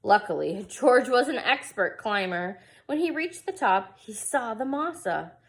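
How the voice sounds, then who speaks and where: A woman's voice: on the high side, lively intonation, measured pace. A woman reading a picture book aloud to young children, expressively and with casual asides. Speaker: preschool storyteller.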